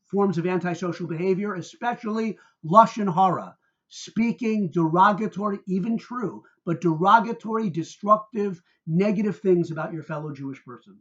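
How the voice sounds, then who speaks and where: A man's voice: high-pitched, lo-fi sound. A man speaking as a rabbi in a sermon-like religious talk, emphatic and heated, stacking up adjectives with dramatic stress.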